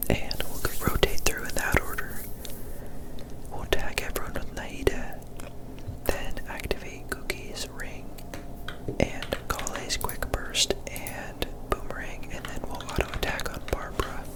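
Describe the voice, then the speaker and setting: A person whispering softly and casually in ASMR gameplay commentary, thinking aloud while playing, close to the microphone. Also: a little slow, rough recording. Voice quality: soft unvoiced whisper. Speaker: gaming ASMR creator.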